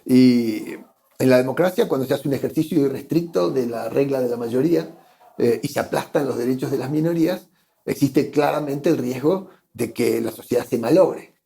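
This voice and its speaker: An Argentine man with a gravelly, mellow voice, talking as an interview guest. He reasons his way forward and stumbles over repeated words as he looks for the right phrase. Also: medium pitch, normal speed. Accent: Argentine Spanish. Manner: thoughtful, repeats words while searching for phrasing. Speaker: guest economist. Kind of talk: conversational interview.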